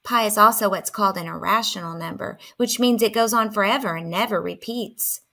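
A woman reading a children's picture book aloud in an expressive manner. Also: mid-range voice, fairly quick. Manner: expressive, acting out dialogue. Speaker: storybook reader.

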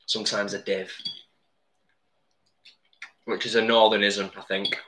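A man's voice: on the low side, little pitch movement, measured pace, sharp tone, slight background noise. A man chatting casually on a live cooking stream from his home kitchen, laughing often and reading out viewer comments.

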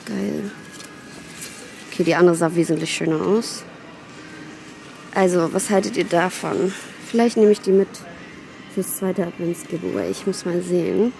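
A young woman vlogging casually and excitedly while browsing plants in a large garden center, sometimes dropping to a whisper. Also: on the low side, moderate pace, creaky, long pauses, a little hiss or hum, low-quality recording. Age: young adult. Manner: excitable, chatty, often whispering asides. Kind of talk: casual shop-with-me vlog. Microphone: handheld phone camera.

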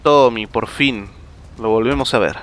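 A man's voice: mid-range voice, unhurried, a bit bright. A man with an Argentine accent chatting and laughing through a casual game commentary, rambling in asides. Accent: Argentine Spanish. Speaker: gaming streamer. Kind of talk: casual let's-play commentary.

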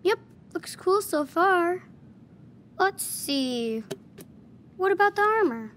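A man's voice: extremely high pitch, slow, slight background noise, heavily acted. An actor voicing an imaginative ten-year-old boy who plays out adventures with his toys and talks to himself in a game. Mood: musing. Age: child figure. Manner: excited, playful, talks to himself. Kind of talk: video game voice acting.

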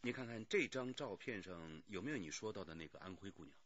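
An affable detective chief in a radio play. He is courteous and businesslike, with a low, husky, lively voice. The recording sounds slightly dull. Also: fast. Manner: courteous, to the point. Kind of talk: Chinese radio drama acting.

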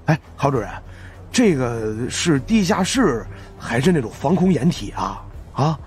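A man voicing a naive, gossipy, excitable fat young man in an audiobook, blurting things out wide-eyed. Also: mid-range voice, unhurried, soft background music, voice pushed up. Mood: amazed.

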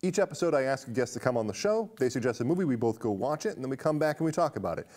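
A man's voice: mid-range voice, big pitch swings, very fast, a little mellow. A man around his forties, hosting a casual film chat in an indoor studio. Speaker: talk show host. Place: indoor studio set with curtains.

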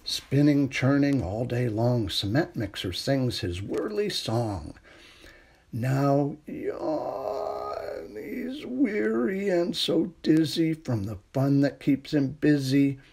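Slow, husky man reading a bedtime story. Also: medium pitch, sing-song. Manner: gentle, unhurried bedtime delivery with hushed refrains. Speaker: storytime reader. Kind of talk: reading a children's picture book aloud.

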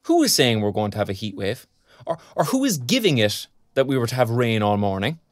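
A young man from an audio drama, played by an actor, with a Cork accent and a lively, swinging delivery. He is colloquial and sardonic, with a short fuse. The sound is dry. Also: mid-range voice, brisk, no room sound, natural voice. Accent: Irish, County Cork. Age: young adult. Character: young Irish graduate, restless, sarcastic, hot-tempered protector. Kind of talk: naturalistic audio drama acting.

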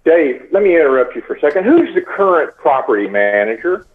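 A man's voice: a little high, sing-song, fairly quick. A man on a tinny phone line, arguing insistently with a caller as an annoyed homeowner. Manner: insistent, repeatedly addressing the caller by name. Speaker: homeowner receiving a prank call. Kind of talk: argumentative phone conversation.

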